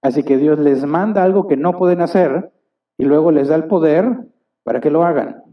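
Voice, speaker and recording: A man's voice: a little high, moderate pace. A man preaching a sermon as a pastor, asking the congregation questions and acting out little exchanges. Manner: asks the congregation rhetorical questions; acts out little dialogues. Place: church hall. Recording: distant, dull church recording.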